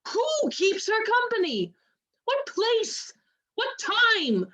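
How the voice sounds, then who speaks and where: A woman playing an outraged, sharp-tongued, loyal waiting-woman in a Shakespearean radio play, emphatic and scornful. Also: very high-pitched, wildly swooping pitch, slow, exaggerated voice. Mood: furious, scornful.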